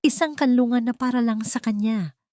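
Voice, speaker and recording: A woman reading a scripted fiction story as a narrator, in a dramatic, deliberate way with suspense. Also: on the high side, a little mellow, no room sound, studio quality.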